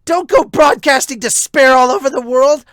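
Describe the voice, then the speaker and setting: Impassioned young anime man acted by a male reader, pitched high and shouting with strained defiance. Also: moderate pace, dry recording, clean studio sound. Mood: desperate, indignant. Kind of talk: anime manga fan dub.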